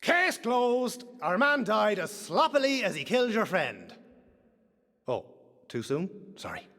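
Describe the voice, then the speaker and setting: A man's voice: high voice, sing-song, a little slow, sharp tone, big-room sound, heavily acted. A man voicing a glib, sardonic, cocky mercenary in a video game. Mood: flippant. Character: cocky, wisecracking male mercenary detective.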